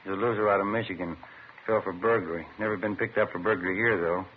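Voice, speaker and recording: On a thin old radio recording with faint noise, a man plays a plain-spoken detective's partner. His voice is low and his delivery laconic and conversational, in the manner of a 1950s police drama. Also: fairly even intonation, quick pace, phone-quality sound, close to an everyday voice. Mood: businesslike. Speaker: supporting actor.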